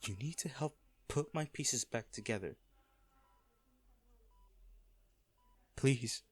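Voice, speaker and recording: A man acting a hardened lover pleading at a comatose partner's bedside in a romantic audio roleplay, halting, intimate and earnest. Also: medium pitch, expressive, husky. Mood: grieving, pleading.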